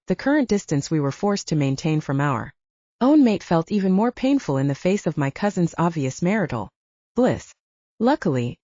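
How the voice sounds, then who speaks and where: A woman's voice: on the low side, mellow, no room sound, dull audio. A woman reading first-person fiction as a scripted audiobook narration.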